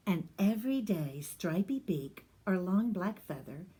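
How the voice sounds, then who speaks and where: Woman, reading teacher, picture book read-aloud, slow pace, gentle, soft, whispery at times. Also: fairly low pitch, animated, husky.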